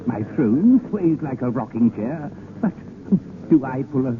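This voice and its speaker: A man's voice: mid-range voice, very animated, measured pace. An actor playing a sly, amused king in disguise, hemming and wry, in a vintage radio drama. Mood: wry, musing. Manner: hemming, chuckling, wry and imperious. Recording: scratchy, phone-like old radio broadcast, noisy.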